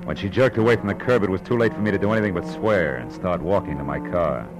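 A man's voice: deep voice, fast talker, music in the background, close to an everyday voice, poor audio. A man acting a hard-boiled, wisecracking private detective in a 1940s radio drama, with an American accent and a tough, clipped, sardonic delivery. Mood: sardonic narrating.

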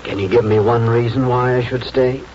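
A man's voice: medium pitch, fairly even intonation, moderate pace, a little mellow, a little hiss or hum, close to an everyday voice. An actor playing a weary, self-doubting middle-aged country lawyer, a man in a 1940s radio drama. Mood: weary, discouraged. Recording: muffled 1940s radio broadcast, music.

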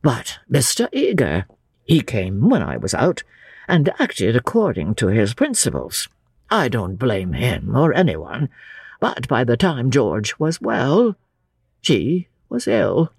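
Performer acting frail old man, husky, halting, slow, grieving, gentle, audiobook character. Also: mid-range voice, wildly swooping pitch, no room sound, studio quality, heavily acted. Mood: grim, weary. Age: older. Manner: halting, drawn-out, anguished delivery. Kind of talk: dramatic audiobook character reading.

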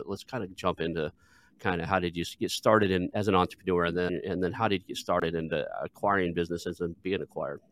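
A man's voice: low voice, fairly bright tone. A man hosting a podcast, chatting casually and informally with a self-deprecating streak. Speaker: podcast host. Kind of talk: casual interview chat.

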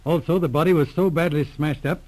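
An actor playing a businesslike police inspector in a 1940s radio drama, courteous, calm and matter-of-fact. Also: male voice, fairly high pitch, fairly quick, close to an everyday voice. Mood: formal, probing. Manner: matter-of-fact, clipped questioning.

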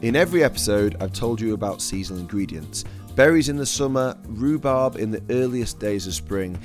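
Croaky-voiced male chef hosting a podcast. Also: lowish voice, lively intonation, a little fast, over music. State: croaky, losing his voice. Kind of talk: scripted podcast narration.

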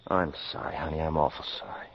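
Worn-out american doctor in a 50s radio play, really low voice, hard on himself, muffled old recording. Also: male voice, fairly even intonation, fast, slight background noise. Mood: tired, contrite. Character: weary, self-reproaching male public health doctor, husband. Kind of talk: 1950s American radio drama acting. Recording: dull lo-fi 1950s radio recording.

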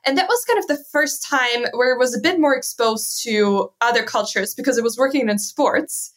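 German woman in her twenties, guest on a podcast, lively and chatty with a slightly high voice; keeps tagging "right?". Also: moderate pace. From southern Germany. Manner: lively, laughs often, says "right?" a lot. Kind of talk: casual interview chat.

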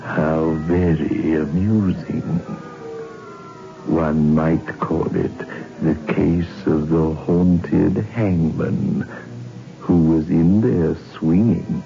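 Casting: sinister, sardonic horror-show host, deep and gravelly, drawling with macabre relish. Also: male voice, steady tone, extremely slow, music in the background, exaggerated voice. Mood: sly, gloating. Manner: sardonic, drawling, savouring each phrase. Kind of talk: 1960s radio horror narration. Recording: muffled old radio recording.

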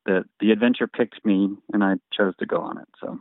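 Man in his forties, interview guest, modest and easygoing, somewhat low voice, narrow phone-line sound. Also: a little fast, creaky, no echo. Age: 40s. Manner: easygoing and modest, chuckles often. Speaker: guest, singer and memoir author. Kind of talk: casual interview chat. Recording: thin phone-like line, dry.

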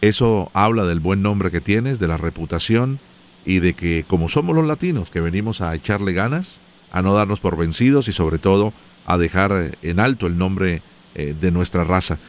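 A man hosts a radio business show with a fairly low voice. He greets and praises his guests in an affectionate, encouraging way. He reads out the show's contact details between interviews. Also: animated, normal speed.

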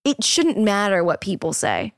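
A woman in her twenties hosting a podcast, chatty and encouraging, swearing casually in relaxed talk. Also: mid-range voice, moderate pace, no echo, clean studio sound. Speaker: podcast host.

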